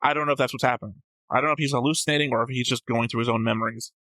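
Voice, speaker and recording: A man's voice: medium pitch, fairly even intonation, rapid-fire, pushed and bright, no echo, poor audio. A man co-hosting a reaction show, arguing through story theories in an analytical, casual way with some swearing. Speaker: host of an anime reaction show. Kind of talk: casual debate about a show.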